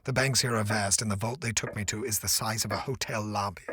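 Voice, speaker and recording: An actor playing an earnest, obsessed scientist in an audio drama, speaking with intense, deliberate formality. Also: male voice, on the low side, fairly even intonation, sharp tone, husky, no echo, clean studio sound. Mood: weary, bleak. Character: earnest, obsessed male scientist stranded in another dimension.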